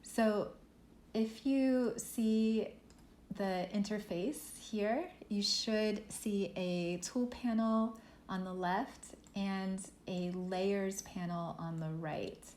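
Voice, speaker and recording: A woman instructor leading an art workshop, walking students through software step by step in a relaxed, thinking-aloud way. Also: medium pitch, drawn-out words. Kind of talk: software tutorial lesson. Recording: echoey room with faint noise.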